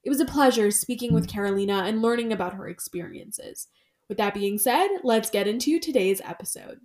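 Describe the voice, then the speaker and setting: A woman's voice: medium pitch, animated, a little fast, darkish tone. A woman hosting an interview podcast, reading a guest introduction and asking friendly questions. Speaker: podcast host.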